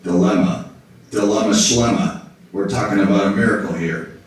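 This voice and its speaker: A man playing a wisecracking, gruff private detective puppet in a film-noir parody, slangy and wry. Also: fairly low pitch, normal speed, close to an everyday voice. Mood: wry, joking. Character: wisecracking, down-on-his-luck hard-boiled male private detective puppet. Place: indoor hall, behind a puppet stage. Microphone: far camera in the audience. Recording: echoey room, distant audience camera.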